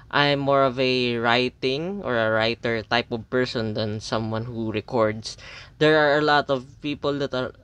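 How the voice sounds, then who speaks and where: A man's voice: mid-range voice, fairly bright tone. Hesitant Filipino man reviewing earbuds. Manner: hesitant, many fillers and restarts. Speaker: audio reviewer. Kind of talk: casual product review.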